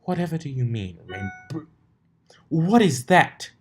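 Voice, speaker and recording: A man's voice: a little high, big pitch swings, a little slow, soft background music, voice pushed up. A man voicing a prim, dramatic female unicorn in a cartoon story, prissy and theatrical in manner. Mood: indignant.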